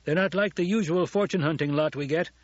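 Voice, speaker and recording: A man's voice: a little high, fast, lo-fi sound, voice pushed up, natural voice. A man voicing a loyal veteran soldier in an audiobook, deferential to his lord, speaking earnestly and emphatically. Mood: wary, reporting. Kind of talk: audiobook character dialogue.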